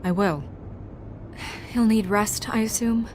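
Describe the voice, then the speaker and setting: A woman playing a stern but caring leader in a video game, reserved and proper, with measured, careful delivery. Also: mid-range voice, steady tone, darkish tone, slight background noise, lightly acted. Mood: concerned.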